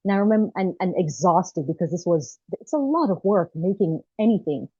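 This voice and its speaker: A woman's voice: fairly low pitch, expressive, brisk, mellow, slightly rough, no room sound, dull audio. A woman from Jamaica, a filmmaker, telling her story as an interview guest in an earnest way and laughing between phrases. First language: not French.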